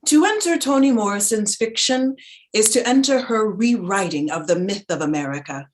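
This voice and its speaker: A woman giving a dramatic reading of a literary essay in a measured, reflective, articulate manner. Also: mid-range voice, lively intonation. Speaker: reader in a staged reading.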